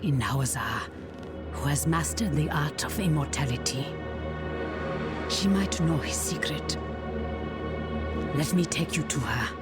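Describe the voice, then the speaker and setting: An actor voicing a haunted, vengeful hunter in a video game, grim, measured and resolute. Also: medium pitch, lively intonation, close to an everyday voice. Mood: guarded. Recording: loud music behind.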